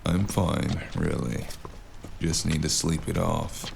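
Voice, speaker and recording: Man playing a very deep, gravelly, weary mercenary. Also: steady tone, takes it slowly, heavy background noise, exaggerated voice. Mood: tired, confused. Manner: weary, flippant. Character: worn-out, stubborn, sardonic mercenary, a man. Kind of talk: audio drama acting.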